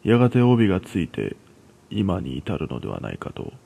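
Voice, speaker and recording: A man reading a scripted first-person horror story in a measured, hushed storytelling manner. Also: sits low, wildly swooping pitch, creaky, slightly rough.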